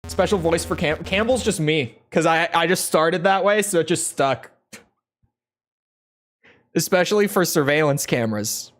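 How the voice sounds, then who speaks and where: A man giving playful let's play commentary, chatty and quick to laugh. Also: a little high. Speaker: video game streamer.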